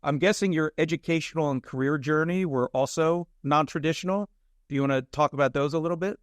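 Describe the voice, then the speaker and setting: Middle-aged male podcast host, slightly high voice. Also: dry recording, clean studio sound. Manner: friendly, measured, with frequent fillers. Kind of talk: conversational interview hosting.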